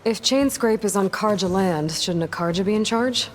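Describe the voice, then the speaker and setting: A woman's voice: mid-range voice, moderate pace, soft background music, natural voice. A woman playing a blunt, determined young heroine in a video game, speaking in a direct, dry way. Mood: sceptical. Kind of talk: video game character acting.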